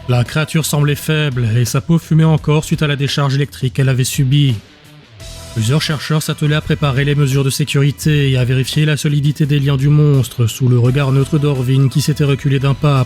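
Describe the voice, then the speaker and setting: A man's voice: medium pitch, a little mellow. Male audiobook narrator over music. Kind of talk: scripted dramatic narration.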